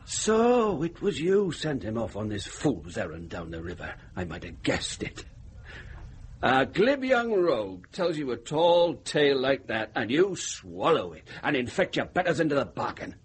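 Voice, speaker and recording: A man's voice: medium pitch, huge pitch swings, unhurried. A blustering medieval lawman in a radio play. His delivery rises and falls sharply, full of sneering accusation. There is faint noise behind the voice. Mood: scornful, accusing. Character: scornful, blustering medieval sergeant of the law. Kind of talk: radio drama acting.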